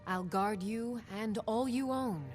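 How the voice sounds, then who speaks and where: An actress playing a loyal, stoic warrior bodyguard in a fantasy video game, earnest and dutiful. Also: female voice, fairly high pitch, slow. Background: music.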